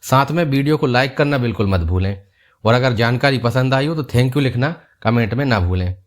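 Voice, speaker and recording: Calm man explaining health advice. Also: medium pitch, fairly quick. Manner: calm, steady explaining, repeats key points. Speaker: doctor explaining health topics.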